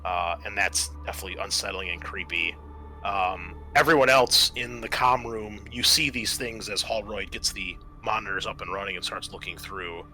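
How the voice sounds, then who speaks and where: A man running a tabletop game as game master, describing scenes in a halting, improvised way with many pauses. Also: fairly low pitch, steady tone, sharp tone, soft background music, rough recording.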